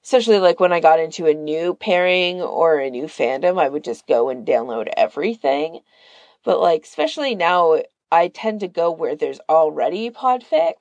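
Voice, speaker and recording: A woman's voice: sits low, animated, moderate pace, a little mellow, dry recording, dull audio. A woman from Canada chatting casually as a podcast host, rambling and peppering her talk with "like". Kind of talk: casual chat.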